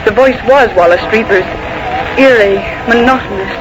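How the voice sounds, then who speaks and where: An actress playing an anxious, devoted secretary in 1940s radio drama, earnest and melodramatic. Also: female voice, a little high, moderate pace, natural voice. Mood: haunted narration. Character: anxious, devoted female secretary, fearful and pleading. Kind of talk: 1940s radio acting, dramatic first-person narration. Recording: noisy vintage 1940s radio recording.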